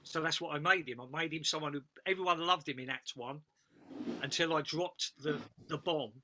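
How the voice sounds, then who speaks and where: A man's voice: fairly high pitch, moderate pace, pushed and bright. A man giving casual feedback as a script panellist, speaking emphatically and repeating phrases for stress.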